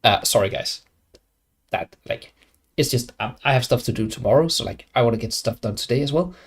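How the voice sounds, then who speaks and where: Young Danish-accented streamer, gravelly voice, loose rambling chat with lots of restarts. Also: male voice, mid-range voice, animated, a little fast. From Denmark. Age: young adult. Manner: rambling, many fillers and restarts. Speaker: game streamer. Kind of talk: casual live stream chat.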